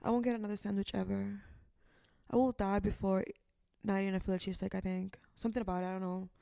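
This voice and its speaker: Playful woman with a gravelly voice. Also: mid-range voice, little pitch movement, fast, warm tone, no echo, studio quality. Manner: playful, dramatic gasps and quips. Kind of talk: casual podcast chat.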